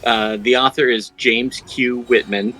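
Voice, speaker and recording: A man in his thirties speaking in a measured way as a guest in a casual panel discussion. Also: mid-range voice, steady tone, pushed and bright, rough recording. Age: 30s.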